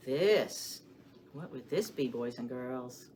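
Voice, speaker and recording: A woman hosting a story time for young children, speaking to them with questions and expressive emphasis as she shares rhymes and picture books. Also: a bass voice, slow pace, a little hiss or hum.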